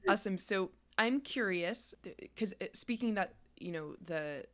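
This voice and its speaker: A woman's voice: medium pitch, animated, measured pace. A woman hosting a podcast in a casual conversational style, talking in a chatty way with frequent restarts. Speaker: podcast host.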